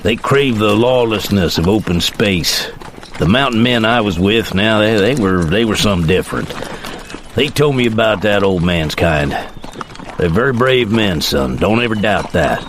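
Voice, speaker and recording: An actor playing a middle-aged frontier father with an American Western drawl, laconic, folksy and measured, in a dramatized western audiobook. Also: fairly low pitch, expressive, a bit bright, slight background noise. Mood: reflective. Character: steady, plainspoken frontier father on horseback.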